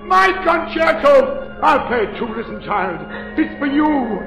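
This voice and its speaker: An actor in a 1940s radio drama playing a mad, disfigured masked composer, theatrical and brooding, obsessively tender. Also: male voice, extremely high pitch, big pitch swings, vocal fry, heavily acted. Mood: feverish, exalted. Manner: theatrical, slow, brooding speech that swells into frenzy. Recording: echoey 1940s radio broadcast with music.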